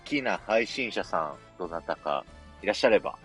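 A man's voice: sits low, animated, moderate pace, faint music behind. A man hosting a casual audio chat and introducing guests. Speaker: host.

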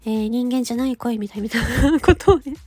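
High, nasal, cartoon-cute woman's voice. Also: no room sound. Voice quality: nasal, stuffy-nosed. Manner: polite, giggly, halting phrases ending in a soft filler. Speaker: amateur voice actor hosting a personal audio channel. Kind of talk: casual chat to listeners.

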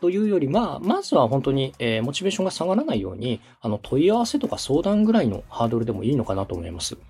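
A man's voice: mid-range voice, big pitch swings, racing, darkish tone, husky, faint music behind. A man from Osaka giving polite, methodical advice as a podcast host and radio director. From Osaka, Japan. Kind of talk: explanatory consulting talk.

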